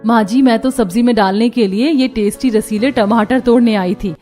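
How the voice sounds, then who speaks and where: A woman's voice: on the high side, moderate pace, dark tone, faint music behind, lightly acted. A woman voicing a cheerful, hardworking young village daughter-in-law in a cartoon story.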